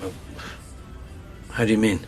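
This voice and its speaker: An actor with an Irish accent playing a newspaper editor, a loyal but cautious friend, in a naturalistic radio play. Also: male voice, unhurried, soft background music, lightly acted. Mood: concerned.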